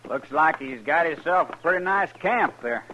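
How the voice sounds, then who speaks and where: Muffled old radio sound, man as young cowboy, high animated voice, Western accent, earnest, rustic. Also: moderate pace, slight background noise, lightly acted. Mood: easygoing. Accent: American Western. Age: young adult. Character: earnest, soft-hearted young man learning to be gunman. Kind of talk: 1950s radio western acting.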